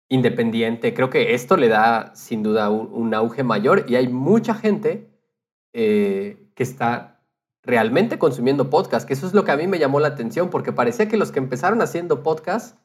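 A man explaining things informally as a podcast guest, adding little tag questions. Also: mid-range voice. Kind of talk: casual explanatory chat.

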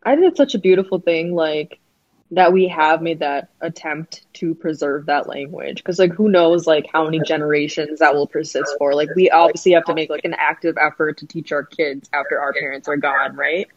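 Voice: medium pitch, normal speed, dry recording, lo-fi sound. A speaker in their twenties chatting casually on a podcast, telling stories animatedly with many fillers.

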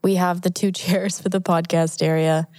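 A woman, sniffly from allergies, co-hosting a podcast in a small office studio. She tells stories in a chatty, animated way with many fillers. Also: fairly low pitch, moderate pace, no room sound. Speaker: podcast co-host. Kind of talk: casual chat.

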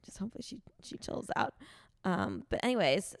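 A woman's voice: medium pitch, wildly swooping pitch, brisk. A woman, a podcast host in her late twenties, chatting informally in an interview and saying "like" often. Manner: chatty, informal, liberal use of "like". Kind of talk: casual in-person interview.

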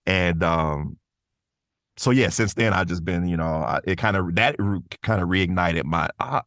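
Low-voiced man, rambling enthusiastic casual chat. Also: measured pace, fairly bright tone, no room sound, studio quality. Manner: rambling, enthusiastic, peppers talk with "man" and "you know". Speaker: podcast guest, voice actor. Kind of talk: casual conversational interview.

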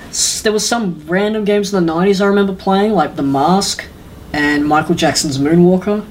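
A man's voice: high voice, normal speed. A man talks casually as a podcast co-host. His manner is laid-back, slangy and enthusiastic, and there is faint noise behind his voice.

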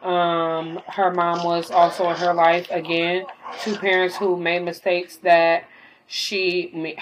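A young woman with a slightly hoarse voice after an illness, rambling casually in a late-night monologue at home, full of fillers. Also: fairly low pitch, slight background noise, poor audio. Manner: rambling, filler-heavy, talks while gaming. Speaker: podcaster.

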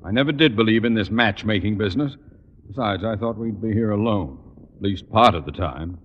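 An actor playing a grumpy middle-aged husband with a soft side in 1950s radio comedy, gruff, on an old recording. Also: male voice, fairly low pitch, a little fast, dull audio, a little hiss or hum, natural voice. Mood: grumbling. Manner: gruff, grumbling.

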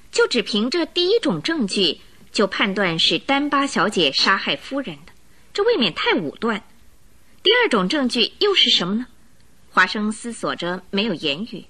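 Lady narrating a radio play, very lively intonation, bright crisp tone, theatrical storytelling. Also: medium pitch. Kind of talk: dramatic scripted narration for radio drama.

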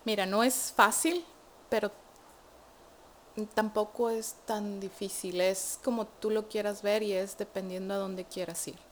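Young Mexican woman, lively guest storyteller, faint background noise. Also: medium pitch, unhurried. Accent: Mexican Spanish. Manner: animated storytelling with many fillers. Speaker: guest, immigrant to Canada. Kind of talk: casual interview chat.

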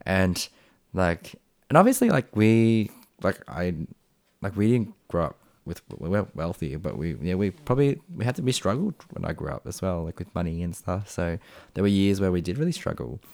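A man's voice: deep voice, expressive, normal speed, gravelly, darkish tone, no echo. A young Australian man chatting casually as a podcast guest, rambling with lots of fillers and restarts. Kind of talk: casual chat.

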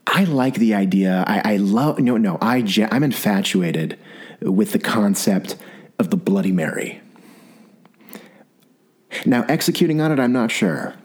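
Low, raspy-voiced man with a sore throat. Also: unhurried, long pauses. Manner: rambling, animated storytelling with repeated restarts. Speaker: podcast host. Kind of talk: comedic solo monologue.

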